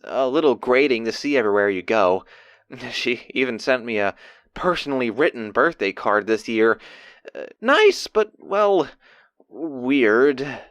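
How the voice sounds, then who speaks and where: Bashful young stallion diarist, male actor: very animated pitch, awkward earnest rambling, dry close sound. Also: unhurried, no echo. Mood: amused, uneasy. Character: bashful, excitable young male pony keeping audio diary. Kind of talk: casual diary monologue, acted.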